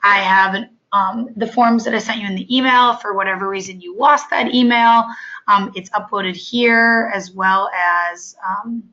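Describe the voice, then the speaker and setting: Hoarse woman leading a training webinar. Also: medium pitch, bright, forceful tone, muffled recording. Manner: halting, many fillers. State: hoarse from illness. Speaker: off-campus study coordinator.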